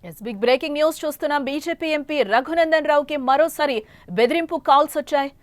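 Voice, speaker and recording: High-pitched woman reading breaking news. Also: fast, loud music behind the voice. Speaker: television news anchor. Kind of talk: breaking news reporting, partly improvised.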